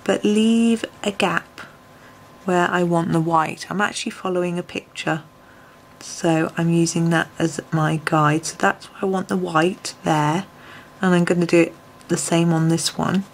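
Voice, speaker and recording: Soft-spoken woman teaching colouring. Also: lowish voice, fairly even intonation, measured pace, vocal fry, a little hiss or hum, low-quality recording. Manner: soft-spoken, often drops to a near whisper. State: slightly croaky voice. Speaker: colouring tutor. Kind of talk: relaxed step-by-step tutorial. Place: home desk, filmed from above.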